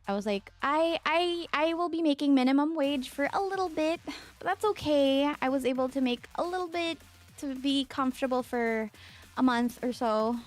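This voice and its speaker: A young woman from the Philippines, a streamer chatting casually with her viewers and answering their questions, with a low voice from a lingering sore throat. She rambles with plenty of fillers and laughs. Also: moderate pace. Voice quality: mezzo-soprano singing voice. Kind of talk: casual chat with chat readers.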